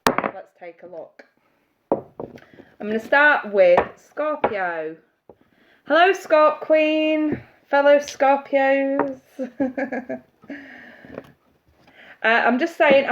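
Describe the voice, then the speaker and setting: A woman reading tarot on a live stream, chatty and congested from hay fever, greeting viewers by name. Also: mid-range voice. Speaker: tarot reader. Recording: muffled audio with steady hiss.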